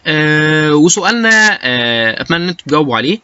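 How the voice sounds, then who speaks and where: A man's voice: fairly high pitch, sing-song, bright, forceful tone, smooth, dry recording, lo-fi sound. A man with an Egyptian Arabic accent giving an informal lecture, mixing in English technical terms and often hesitating and restarting. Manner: halting, with frequent hesitation sounds and repeated starts.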